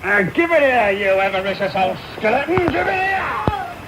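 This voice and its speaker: A man playing a brutal, threatening London thief in a radio drama, with a gruff Cockney voice. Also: very high voice, very animated, moderate pace. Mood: angry demanding. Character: brutal, menacing adult male thief with a dog. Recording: very noisy off-air medium wave radio recording.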